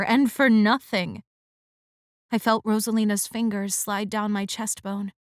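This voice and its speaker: Higher-voiced woman narrating, dry and close. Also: no room sound. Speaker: audiobook narrator. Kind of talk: first-person romance audiobook narration.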